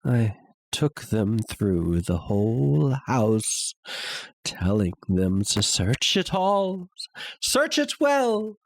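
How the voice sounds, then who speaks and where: Gothic audiobook: a mad, tormented killer confessing. Theatrical, highly animated intonation, long halting pauses, dry close sound. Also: male voice, no echo, exaggerated voice. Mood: uneasy, controlled. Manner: halting, theatrical, hushed to crying out. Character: guilt-ridden mad male murderer, agitated, confessing. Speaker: narrator. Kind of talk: dramatic reading of a gothic horror tale.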